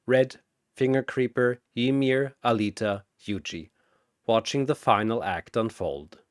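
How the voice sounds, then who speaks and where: A man's voice: medium pitch, measured pace, dry recording, studio quality. A man reading scripted fantasy battle narration in a dramatic announcer style.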